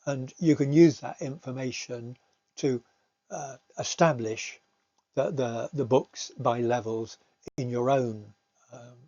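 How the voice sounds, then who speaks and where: A man giving an informal slide talk as a language teacher, explaining things hesitantly with frequent pauses. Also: mid-range voice, mellow, breathy edge. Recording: muffled, roomy home recording.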